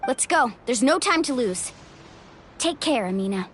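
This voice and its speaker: A brave young female guide from a fantasy game speaks in a fairly high, decisive voice. She is protective and upbeat, with clear ambient noise behind her. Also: expressive, measured pace, a bit bright. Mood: determined. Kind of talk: game voice acting.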